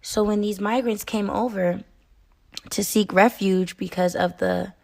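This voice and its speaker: A woman's voice: medium pitch, fairly even intonation, a little slow, vocal fry, darkish tone. A young American woman hosting a solo podcast, talking in an earnest, emphatic way with frequent "um" and "okay?". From United States. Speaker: podcast host.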